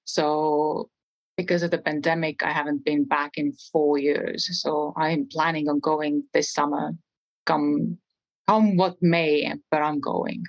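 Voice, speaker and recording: A woman's voice: low-pitched, flat delivery, slow pace, dull audio. A woman in her forties from Estonia with an Estonian accent, a podcast guest who answers earnestly and thoughtfully, often restarting her sentences. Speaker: guest, real estate trainer. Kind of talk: podcast interview conversation.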